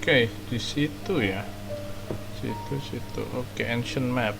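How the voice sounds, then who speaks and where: A man's voice: mid-range voice, a little slow, gravelly, music in the background. A man giving informal, slangy commentary on a game playthrough. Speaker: game streamer.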